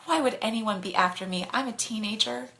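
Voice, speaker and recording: An anxious, feisty teenage girl voiced by a woman in a storybook. She is sarcastic and quick to take offence, with a bright, tense edge to her voice. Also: fairly high pitch, gravelly. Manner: indignant, sharp-tongued. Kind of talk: bedtime storybook reading.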